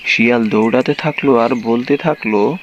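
Mid-pitched male storyteller, faint noise behind. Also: normal speed, muffled recording. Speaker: narrator. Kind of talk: scripted children's story narration.

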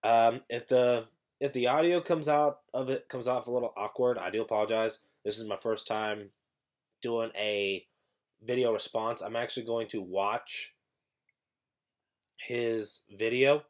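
A man's voice: medium pitch, fairly even intonation, a little slow. A young man vlogging at home to his webcam, sounding congested with an irritated throat, rambling with many restarts and self-corrections. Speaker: YouTuber. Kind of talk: casual vlog talk to camera. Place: small room at home, indoors.

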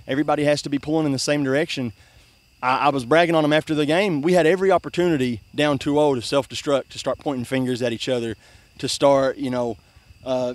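A young man, a soccer coach giving a postgame interview outdoors by a river at night, soaked from a celebratory jump, talking earnestly with many restarts and "I mean". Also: on the high side. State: soaking wet after a river jump. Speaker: high school soccer coach.

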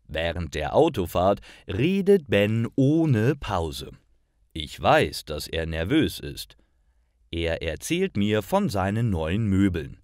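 A man with a low voice narrates a story for learners at a very slow, careful pace. His intonation swings widely and the sound is dry and close, with every word set out clearly. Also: no echo. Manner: clear, careful, expressive enunciation. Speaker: narrator of stories for language learners.

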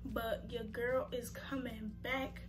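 A woman's voice: on the high side, little pitch movement, takes it slowly, over music. A young woman hosting a reaction video, talking casually and rambling with lots of fillers, her voice worn from getting sick. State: losing her voice from sickness. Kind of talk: casual slangy chat to viewers.

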